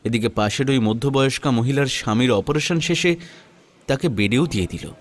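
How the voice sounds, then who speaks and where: A man narrating a scripted first-person audio story in a measured, dramatic storytelling manner. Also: medium pitch.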